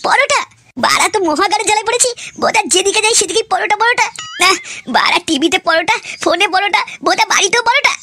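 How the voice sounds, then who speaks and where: A man voicing a hot-headed, foul-mouthed young cartoon man who rants and swears constantly, through a pitched-up effect. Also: quick pace, sharp tone, a little hiss or hum, heavily acted. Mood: exasperated. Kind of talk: comedy cartoon dialogue.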